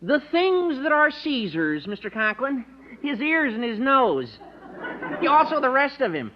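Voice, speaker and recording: A man playing a gawky, earnest teenage schoolboy with a squeaky voice in a 1950s radio sitcom. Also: big pitch swings, unhurried, some chatter behind, exaggerated voice. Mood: earnest, protective. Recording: dull noisy radio recording, studio audience.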